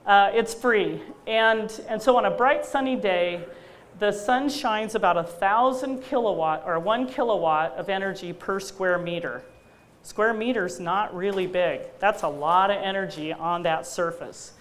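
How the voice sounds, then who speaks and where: A middle-aged woman, an engineering lecturer at a university, giving an energetic public talk with slides in a loud voice and many fillers. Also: medium pitch, a little slow.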